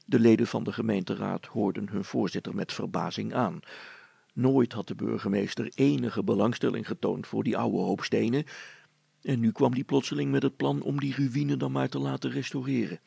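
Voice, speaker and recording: A male storyteller narrates a children's tale. His mid-pitched voice is heavily gravelled and husky, reading from a script. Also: steady tone, moderate pace, warm tone, dry recording.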